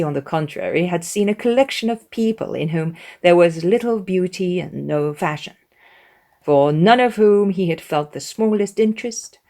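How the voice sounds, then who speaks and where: A woman's voice: medium pitch, darkish tone. A woman reading a classic novel aloud as an audiobook narrator, in a scripted literary storytelling style.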